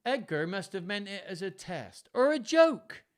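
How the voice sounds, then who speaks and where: A man's voice: sits high, big pitch swings, measured pace, pitched above the performer's own voice. A man voicing a treacherous, sly son of an earl in a storybook reading, gloating in his manner. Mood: feigned innocence. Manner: gloating, slyly innocent.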